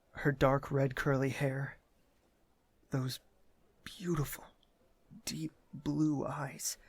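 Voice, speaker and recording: A man playing a composed, earnest young prince in an audio drama, with a measured, formal way of speaking. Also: medium pitch, creaky, breathy edge, heavily acted.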